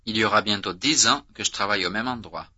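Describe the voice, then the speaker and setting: A man reading out language drill prompts as an instructor, slow and clearly articulated. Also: on the low side, bright, forceful tone. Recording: dull lo-fi tape recording.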